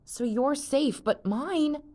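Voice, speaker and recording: A woman's voice: sits high, takes it slowly, darkish tone, voice pushed up. A woman reading aloud, voicing a frightened, doubtful young boy. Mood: panicky. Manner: panicky and doubtful.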